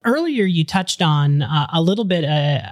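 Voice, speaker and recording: An interview host asking questions slowly, with hesitant fillers and a drawn-out build-up. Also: mid-range voice, expressive, dry recording, studio quality.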